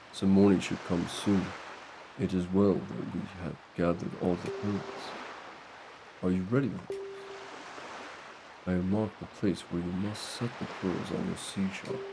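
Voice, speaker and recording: A man's voice: deep voice, vocal fry, background noise, voice pushed down. A man voicing a wise old talking boat from a video game, formal, stately and grave. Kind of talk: video game character voiced by a streamer. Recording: loud music behind the voice. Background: game music.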